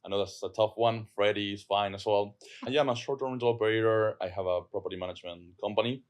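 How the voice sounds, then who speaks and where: Man, Argentine accent, low voice, earnest, repeats words, podcast guest. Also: quick pace. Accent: Argentine Spanish. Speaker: property manager guest. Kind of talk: casual podcast chat.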